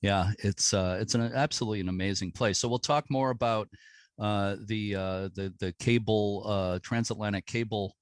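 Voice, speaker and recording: Low-voiced affable male podcast host. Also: steady tone, moderate pace, no room sound, clean studio sound. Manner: affable, with frequent uh fillers. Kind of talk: casual interview.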